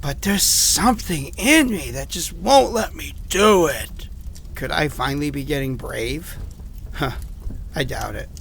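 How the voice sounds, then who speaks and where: A man acts out an anxious, haunted survivor in a horror audio drama, speaking into a recorded diary. His voice is high for a man and crackles with fry. He rambles and hesitates, running himself down as he goes, over a faint layer of noise. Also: lively intonation, measured pace, fairly bright tone, exaggerated voice. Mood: brooding, wry. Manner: rambling, hesitant, self-deprecating.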